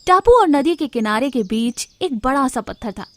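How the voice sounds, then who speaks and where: A woman with a somewhat high voice narrates an animal story for children. She reads it as a scripted storyteller. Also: expressive, normal speed.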